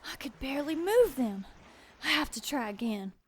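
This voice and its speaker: A woman's voice: high-pitched, sing-song, normal speed, a little hiss or hum. A woman playing a distressed, determined rescuer in an audio drama, speaking with strained effort. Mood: strained determination.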